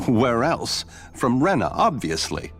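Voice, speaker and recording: A man's voice: mid-range voice, sing-song, slow pace, faint music behind. An actor playing a blunt, offhand space station researcher in a video game, casually explaining what he knows. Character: blasé male researcher on a hidden space station. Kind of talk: video game cutscene acting.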